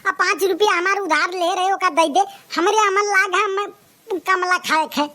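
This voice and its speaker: Casting: woman playing grumbling young male sidekick, comic cartoon, sharp and very high, Awadhi accent, always doubtful. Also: steady tone, unhurried, heavily acted. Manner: doubtful, complaining.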